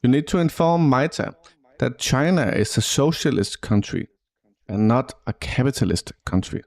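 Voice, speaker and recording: A man's voice: medium pitch, animated, moderate pace, vocal fry, darkish tone. A man in casual chat who presses his points insistently.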